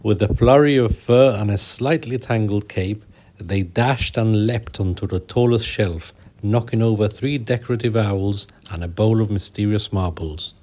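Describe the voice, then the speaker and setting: A man hosting a children's bedtime story show, narrating in a gentle, soothing, unhurried way. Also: lowish voice.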